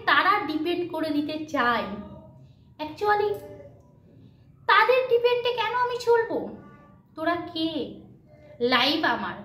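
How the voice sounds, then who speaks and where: A woman's voice: very high voice, bright, forceful tone. A woman chatting motivationally to her followers, emphatic, repeating her points and mixing in English words. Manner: mixes English words into speech. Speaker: video blogger. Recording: echoey room with faint background noise.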